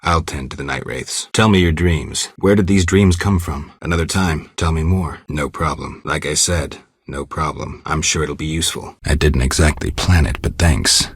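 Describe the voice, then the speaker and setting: A man voicing a stoic, world-weary monster hunter in a video game, terse and deadpan, with a gravelly, husky low voice. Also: normal speed, few pauses, no echo, studio quality, full character voice. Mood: flat, businesslike. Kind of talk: video game dialogue lines.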